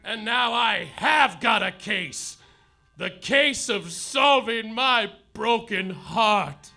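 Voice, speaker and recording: Man playing an overwrought, very high-pitched private detective. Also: lively intonation, slow pace, creaky, pushed and bright, faint music behind, heavily acted. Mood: defiant. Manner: overwrought, declamatory. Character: melodramatic heartbroken male private detective, boastful and defiant. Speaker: lead actor and narrator. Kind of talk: parody noir detective narration, live radio play.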